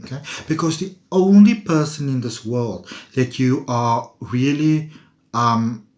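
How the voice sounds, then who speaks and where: A man's voice: medium pitch, takes it slowly, darkish tone. A man giving a playful, chatty tarot reading, laughing often and talking to viewers. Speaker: tarot reader. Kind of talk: casual tarot reading monologue.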